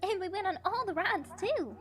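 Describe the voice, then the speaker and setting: A woman's voice: very high-pitched, lively intonation, moderate pace, a bit bright. A woman voicing a cheerful, innocent young country filly in a cartoon-style audio drama. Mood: excited. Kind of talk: animated fan fiction audio drama.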